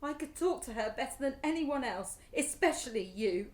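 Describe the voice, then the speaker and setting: A British actress playing an emotional, halting, grief-stricken middle-aged mother in a radio play. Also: sits high, animated. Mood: bitter, furious. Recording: echoey room.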